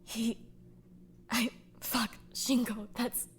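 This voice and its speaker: A woman voicing a blunt but caring young woman in a fan audio drama, speaking frankly and gently, with the odd swear. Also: a little high, flat delivery, very slow, a little hiss or hum. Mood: consoling.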